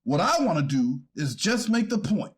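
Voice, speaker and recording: A man playing a deferential defense attorney in a courtroom readers theater, formal and hesitant when challenged, with a husky voice. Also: on the high side, sing-song, normal speed. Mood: earnest, pleading. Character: deferential male defense attorney pleading for his client. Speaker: actor reading a defense attorney.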